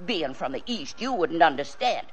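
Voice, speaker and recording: A woman's voice: low voice, very animated, moderate pace. An actress playing a chatty, folksy drive-in proprietress in an old radio drama, garrulous and rustic in her grammar. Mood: gossipy, excited.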